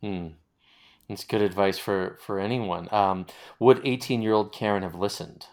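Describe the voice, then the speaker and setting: Low-voiced man hosting an interview. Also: steady tone, normal speed. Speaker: interviewer, host. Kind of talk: conversational interviewing.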